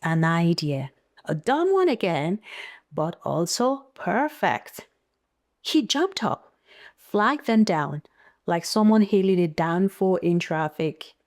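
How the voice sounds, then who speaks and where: A woman narrates a story in a slightly low voice, taking her time over each beat. Her delivery is dramatic and suspenseful, built on short punchy phrases and wry casual asides. The recording is close and dry. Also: sing-song, takes it slowly, a little mellow, no room sound.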